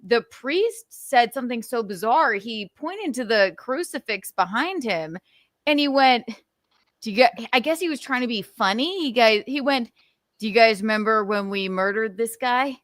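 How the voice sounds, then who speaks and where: Woman stream host, slightly high voice, dry close sound, giggly storyteller. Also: expressive, moderate pace, fairly bright tone, no echo. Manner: storytelling, giggly, acts out quotes. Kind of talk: casual comedic chat.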